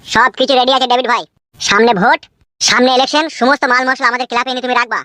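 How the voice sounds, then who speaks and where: High, rapid-fire scheming village politician, acted by a woman. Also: fairly even intonation, bright, forceful tone, no echo, studio quality. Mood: businesslike scheming. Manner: crude, quick to scold. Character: scheming, hot-tempered village politician hungry for office. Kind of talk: comedy cartoon dubbing.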